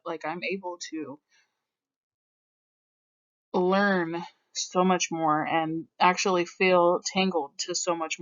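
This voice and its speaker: A woman's voice: lowish voice, fairly bright tone. A young American woman talking candidly about her own life in a reflective monologue, full of casual filler phrases. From United States. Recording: slightly dull lo-fi sound.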